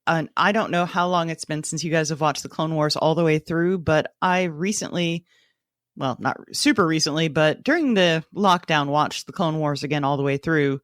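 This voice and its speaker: A woman's voice: low voice, moderate pace, no echo. A woman chatting as a podcast co-host in a dry, close recording. She is conversational and reflective, explaining her thoughts in a casual book club discussion.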